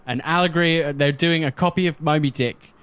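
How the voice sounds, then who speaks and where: A man's voice: a little high, a little fast, a bit bright, clean tone. A man talking informally as a panelist on a podcast. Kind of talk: casual panel chat.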